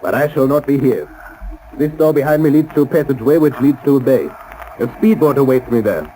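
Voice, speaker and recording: An actor playing a sinister, gloating doctor and saboteur in a theatrical 1930s radio drama style. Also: male voice, medium pitch, a little fast, mellow, natural voice. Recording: noisy old 1930s radio recording.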